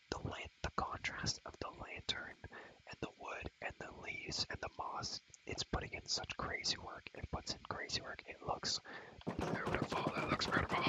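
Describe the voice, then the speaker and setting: A young man whispering breathily into a close mic, rambling slangy ASMR gameplay commentary and repeating phrases over and over. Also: rough recording. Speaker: ASMR gamer and host.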